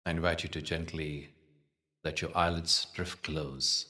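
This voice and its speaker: A man leading a guided meditation as the teacher, soothing and unhurried, with measured phrasing and long pauses. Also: a bass voice, steady tone, pushed and bright, far from the mic.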